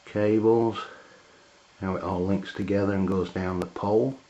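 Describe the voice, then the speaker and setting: A man with an English accent giving a casual hands-on product review in a halting, matter-of-fact way. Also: low voice, steady tone, dark tone, a little hiss or hum. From England. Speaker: amateur radio reviewer. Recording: dull, lo-fi camera sound.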